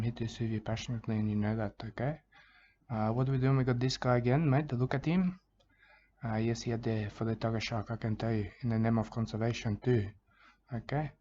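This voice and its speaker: Guy playing a hushed aussie bush bloke, low flat murmur, keeps saying mate, muffled recording. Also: a little hiss or hum, close to an everyday voice. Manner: hushed, mumbling, repeats "mate" and "I can tell you". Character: rambling male Aussie bushman, soft-spoken, plotting revenge. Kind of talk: parody soothing wildlife narration. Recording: dull lo-fi recording.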